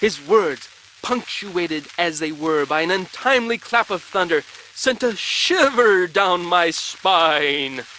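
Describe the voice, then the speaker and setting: Melodramatic adventurer narrating, really slow, bright forceful voice, rain noise behind. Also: male voice, sits high, lively intonation, heavily acted, rough recording. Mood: desperate, grave. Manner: florid, drawn-out melodramatic narration. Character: melodramatic male adventurer recounting a perilous fight. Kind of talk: dramatic first-person narration.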